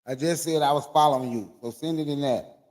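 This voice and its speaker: A man's voice: fairly high pitch, brisk, darkish tone. A man hosting a casual livestream, talking loosely into an old phone and checking whether his guests can hear him. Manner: repeatedly checking audio with guests, loose and conversational. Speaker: livestream host.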